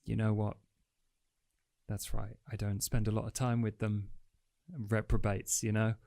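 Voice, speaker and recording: A man's voice: lowish voice, flat delivery, a little slow, vocal fry, dark tone, no echo, studio quality. A man playing his character in a tabletop game, flippant and sarcastic. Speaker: player. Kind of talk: in-character roleplay.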